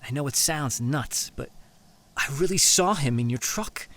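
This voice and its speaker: An actor playing an anxious, earnest young man in an audio drama, halting and deferential. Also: medium pitch, lively intonation, fairly bright tone. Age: young adult. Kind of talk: naturalistic audio drama acting.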